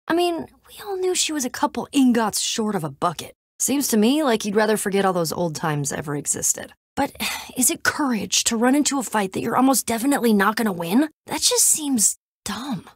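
A woman's voice: fairly high pitch, animated, brisk, slightly rough, dry recording, clean studio sound. An actress voicing a scrappy, wry young female adventurer in a video game, talking in a casual, sarcastic, plain-spoken way. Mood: worried.

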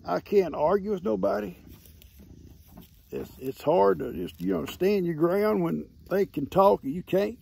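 A man, hoarse from losing his voice, chatting and teasing his farm animals outdoors into a phone held at arm's length. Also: high-pitched, measured pace, dark tone. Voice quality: gravelly. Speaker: farm vlogger. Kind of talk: casual talk to animals and camera. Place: outdoors under a shade tree on a farm.

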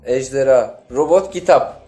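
Mellow-voiced man in casual game chat. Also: medium pitch, unhurried, soft background music. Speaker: guest co-host, contestant. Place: small indoor studio, seated at a table.

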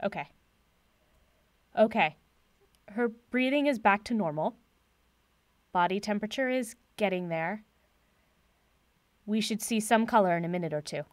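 An actress playing a confident, brisk woman professor with a dry wit in a naturalistic audio drama. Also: medium pitch, expressive, dry recording, close to an everyday voice. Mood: urgent, focused. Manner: brisk, wry, decisive.

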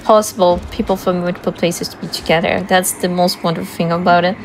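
A woman's voice: lowish voice, steady tone, fast talker, a little hiss or hum, poor audio. A woman whose first language is Italian, giving playful, amused game commentary in slightly broken English. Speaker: speedrun commentator. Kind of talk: casual commentary.

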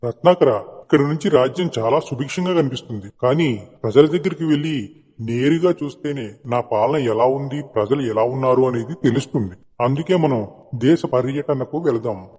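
A man playing a proud, hot-tempered king with a formal, commanding manner in an animated moral story. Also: mid-range voice, normal speed, husky, lightly acted. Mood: stately. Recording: long hall-like reverb, music behind.

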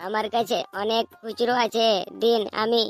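A performer voicing a male cartoon beggar who pleads in a whiny, drawn-out way and will not let go. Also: mid-range voice, level tone, lightly acted. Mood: pleading. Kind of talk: cartoon comedy dubbing. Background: music.

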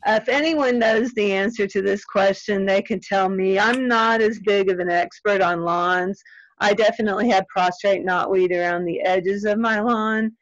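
A middle-aged woman, answering audience questions in a friendly, chatty, self-deprecating way. She is losing her voice and clears her throat now and then. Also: mid-range voice, fairly even intonation, normal speed, dry recording. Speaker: extension weed scientist answering audience questions. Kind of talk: casual Q&A at end of webinar. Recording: dry, slightly dull webinar audio.

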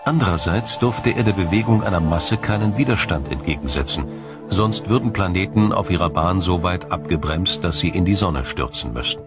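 A man with a low-pitched voice reads scripted documentary narration. His delivery is formal and measured, laying out explanations step by step. Music plays behind his voice.

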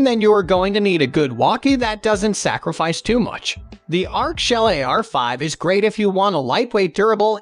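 Higher-pitched man narrating a scripted product review.

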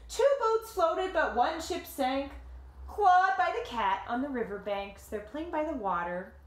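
A woman in her thirties reading a picture book aloud to children like a teacher, with dramatic, deliberate phrasing and simple explanations, recorded in a living room. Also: high-pitched, lively intonation. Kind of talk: expressive storybook read-aloud with explanatory asides. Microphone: camera or phone a short distance away. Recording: roomy home echo.

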